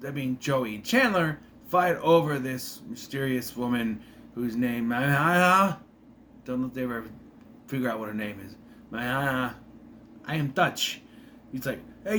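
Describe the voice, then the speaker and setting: A man talks through a sitcom episode in a loose, rambling way, stopping to correct himself and tossing in opinionated asides. His pitch jumps around a lot as he goes, and now and then he slips into a quick impression of a character. A faint hiss sits under the recording. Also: fairly bright tone. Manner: briefly mimics characters while retelling. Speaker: show recap vlogger. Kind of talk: casual rambling episode recap.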